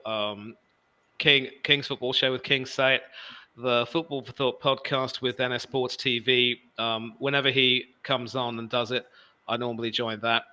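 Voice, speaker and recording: A man in his forties chatting as a guest pundit on a sports livestream. He has a very bright, crisp and clean voice, and he talks loudly, with emphasis, often jumping in over the others. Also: medium pitch. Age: 40s. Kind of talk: casual livestream chat.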